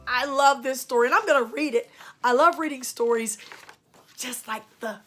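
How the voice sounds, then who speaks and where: A woman storyteller giving an expressive children's read-aloud with dramatic emphasis. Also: high voice, normal speed, faint music behind. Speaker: storyteller and show host.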